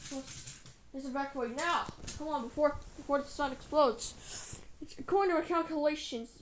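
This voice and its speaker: A woman's voice: high-pitched, normal speed, a little hiss or hum, natural voice, poor audio. A woman acting a flustered, anxious character in an amateur improvised drama, stumbling over words and correcting herself. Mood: worried.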